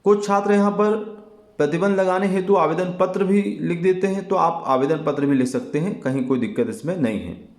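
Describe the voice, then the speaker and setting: A man teaching an exam lesson in a deliberate, explanatory way, repeating key phrases as he goes. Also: high-pitched. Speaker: Hindi teacher. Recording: echoey, reverberant room.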